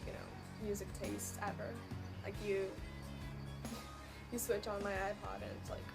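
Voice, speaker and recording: A woman's voice: medium pitch, level tone, measured pace. A young woman from Puerto Rico answering viewer questions in a casual vlog, hesitant and full of ums and likes. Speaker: vlogger. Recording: music playing behind.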